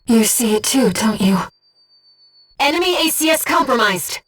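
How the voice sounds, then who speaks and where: A woman voicing a disembodied female guide who speaks in a pilot's head as mission operator in a video game, with a soft, breathy voice and a precise, earnest delivery. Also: a little high, expressive, moderate pace, distant mic, soft background music. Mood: soft, sorrowful, then urgent, alert. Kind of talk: scripted video game voice lines, mission briefings and battle callouts.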